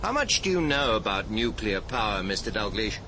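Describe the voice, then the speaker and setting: An actor with a British accent playing a brisk, urbane power station director in a radio drama, explaining with wry poise. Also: male voice, fairly low pitch, very animated, background noise. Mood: urbane, businesslike. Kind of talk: naturalistic radio drama acting.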